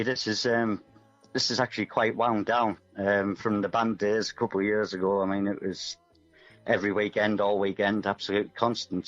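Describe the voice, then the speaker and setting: Musician guest, male, forties, lowish voice, casual and self-deprecating, dull audio over faint music. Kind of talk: casual radio interview chat.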